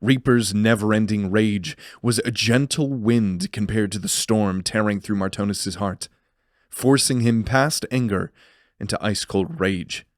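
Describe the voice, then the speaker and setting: A man's voice: lowish voice, measured pace, no room sound, studio quality. A man reading scripted narration for a fantasy audio drama in a serious, brooding storytelling manner.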